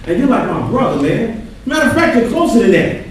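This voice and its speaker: A man's voice: high-pitched, big pitch swings, fairly quick. A man acting in a stage play as an earnest churchgoing friend who counsels with preachy, urging emphasis. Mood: earnest, concerned. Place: theatre stage, captured from afar. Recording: distant, echoey theatre stage recording.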